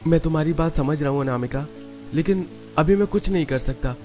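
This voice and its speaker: Man putting on a higher-pitched earnest young husband. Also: fairly quick, darkish tone, faint music behind, natural voice. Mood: apologetic, reasoning. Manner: earnest, explaining. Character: reasoning young Indian husband and son. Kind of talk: cartoon story dialogue.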